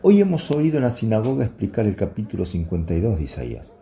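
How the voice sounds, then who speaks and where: Male actor as earnest apostle, solemn radio drama sermon, animated intonation, very mellow, gravelly. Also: on the low side, fast talker. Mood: solemn, instructive. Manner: solemn, oratorical. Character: earnest apostle leader preaching humility and faith.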